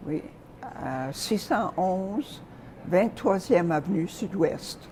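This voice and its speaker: An older woman from Calgary, Canada, recalling her childhood and early teaching years as an interview guest, speaking softly with frequent hesitations and pauses. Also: on the low side, animated, a little hiss or hum. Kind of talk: reminiscing in an interview.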